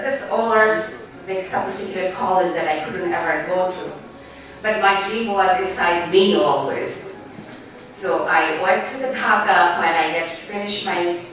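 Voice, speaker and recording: Woman, forties, Bangladeshi accent, halting heartfelt speech, lowish voice, distant echoey hall. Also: fairly bright tone. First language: Bengali. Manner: halting, heartfelt, loose grammar. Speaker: newspaper editor giving a speech. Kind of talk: formal event speech. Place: large banquet hall. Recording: echoey hall, distant mic, faint room noise.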